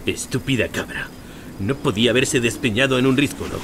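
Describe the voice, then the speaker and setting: Guy playing grumbly goat herder, normal pitch, noisy outdoor effects behind him. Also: brisk, lightly acted. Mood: irritated. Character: grumbling male goat herder, practical, audio drama.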